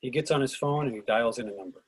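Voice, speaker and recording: A man's voice: mid-range voice, quick pace, breathy edge, no room sound, low-quality recording. A man reading screenplay stage directions aloud as the narrator and moderator of a table read.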